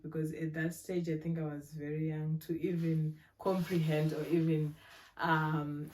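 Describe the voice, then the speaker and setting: Low, mellow-voiced woman in her twenties. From Zimbabwe. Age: 20s. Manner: thoughtful, measured, with frequent fillers and restarts. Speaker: interviewee. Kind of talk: relaxed conversational interview answers.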